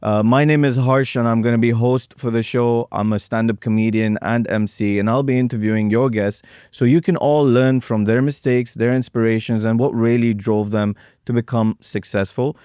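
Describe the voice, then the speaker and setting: A man's voice: mid-range voice, steady tone, moderate pace, few pauses, warm tone, smooth, no echo, clean studio sound. A man hosting a business talk show, interviewing his guest in a friendly, jokey, upbeat way. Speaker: host. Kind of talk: casual interview.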